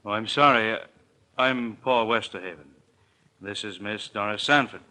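An actor playing a wealthy, polite society gentleman in a 1940s radio drama, courteous and measured. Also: on the low side. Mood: polite concern.

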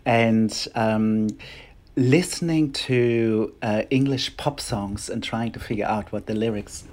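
A man's voice: on the low side, slow, a little mellow. A middle-aged man with a German accent, speaking English as a second language, chatting as an interview guest and often restarting his phrases. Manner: hesitant, many restarts and fillers. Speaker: guest choreographer and dancer. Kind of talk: casual interview chat.

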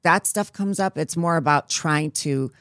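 A woman guest in a casual interview, speaking haltingly with many restarts, frank and sometimes swearing. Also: deep voice, a bit bright, dry recording, studio quality.

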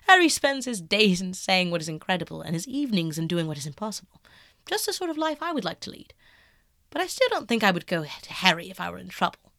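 A woman's voice: lowish voice, big pitch swings, fast, fairly bright tone, dry recording, studio quality. A woman voicing a vain, flippant, secretive young man in an audiobook reading.

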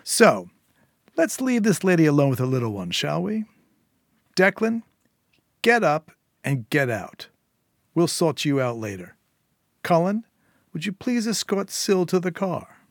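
A suave, coldly polite crime boss threatens in a smooth, lifted voice, as a male narrator puts him on. The menace stays courteous. The sound is dry and close, like a studio audiobook. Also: a little high, very animated, measured pace, pauses often, no echo, pitched above the performer's own voice, heavily acted. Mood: menacing.

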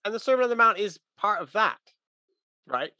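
A man's voice: sits high, lively intonation, a little fast, bright, forceful tone, no room sound, dull audio. A man talking through religious ideas in a casual, conversational but emphatic teaching manner.

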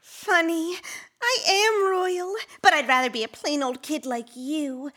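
A woman's voice: high voice, steady tone, a little slow, a bit bright. A woman voicing an eager, romantic young princess in a gushing, theatrical way for a children's comic story. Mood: wistful.

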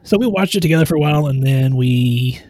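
A man co-hosting a casual podcast, with a husky edge to his mid-pitched voice. He talks in a relaxed, friendly way, and he also reads out the show's promotions. The sound is dry and close to the mic. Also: fast, no echo. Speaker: podcast co-host. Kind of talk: casual chat.

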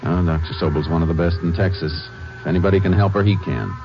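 An actor playing a calm, steady Texas Ranger in a radio crime drama, speaking in a measured, matter-of-fact way. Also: male voice, sits low. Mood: calm, guarded. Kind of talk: 1950s radio crime drama acting with narration. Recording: muffled old radio broadcast with music.

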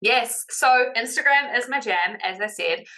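A woman's voice: on the high side, unhurried, pushed and bright. A woman with a New Zealand accent, a confidence coach chatting as a podcast guest in an upbeat, encouraging way. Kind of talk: casual podcast interview.

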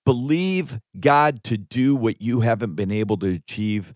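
A man's voice: mid-range voice, measured pace, no room sound, studio quality. An American man in his fifties reading his own audiobook as a pastor and author, with an earnest, emphatic preacherly delivery. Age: 50s. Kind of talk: audiobook narration. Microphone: close studio microphone.

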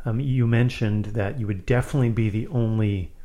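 A man's voice: medium pitch, a little fast, dark tone. A man interviewing a guest, asking his questions with hesitant restarts and short affirmations.

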